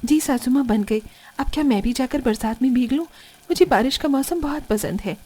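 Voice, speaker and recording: A woman's voice: high voice, fairly quick, dark tone. A woman voicing a young, put-upon daughter-in-law in a cartoon family drama, meek with elders and grumbling when alone. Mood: eager.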